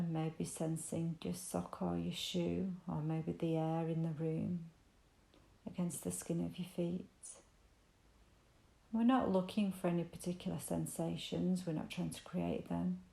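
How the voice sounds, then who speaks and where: A woman with a mellow, creaky voice guides a meditation gently and soothingly. Her delivery stays very even and invitational, with unhurried pauses between phrases. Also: on the low side, reverberant, low-quality recording. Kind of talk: guided body scan meditation.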